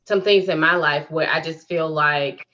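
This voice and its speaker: A woman's voice: deep voice, steady tone, moderate pace, bright, forceful tone. A woman hosting a podcast in a casual interview, affirming and chatty. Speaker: podcast host. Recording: dull lo-fi audio.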